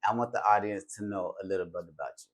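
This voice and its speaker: A man with a low voice hosts a talk show in a casual, slangy way. He hypes things up and often repeats his phrases for emphasis. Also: quick pace. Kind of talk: casual interview with hype-style show intros.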